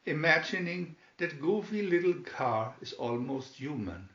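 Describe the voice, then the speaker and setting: A man's voice: fairly high pitch, animated, a little slow, a bit bright. Man as naive young idealist, earnest, storybook delivery, dull roomy recording. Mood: pleading. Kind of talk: storybook read-aloud.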